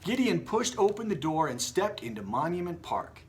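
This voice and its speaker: A man hosting a children's read-aloud, moving between expressive storytelling and casual chat. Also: high-pitched, normal speed, a little hiss or hum. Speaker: story reader and host.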